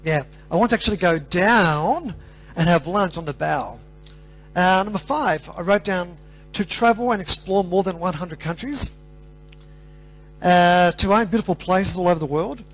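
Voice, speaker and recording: A man's voice: high-pitched, moderate pace, rough recording. A man with an Australian accent giving a motivational talk to students and asking the audience questions. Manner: energetic, asks the audience questions. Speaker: motivational speaker.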